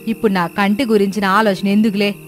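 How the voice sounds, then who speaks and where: A woman's voice: medium pitch, little pitch movement, fast, close to an everyday voice. A woman voicing a worried, complaining poor village mother-in-law in a children's story cartoon. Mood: fretful complaining. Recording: music bed behind the voice.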